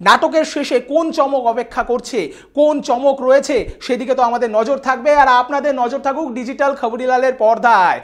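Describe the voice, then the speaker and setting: High-pitched woman presenting dramatic news commentary. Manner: theatrical, rhetorical, sarcastic emphasis with repeated questions. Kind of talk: scripted news commentary for an online channel.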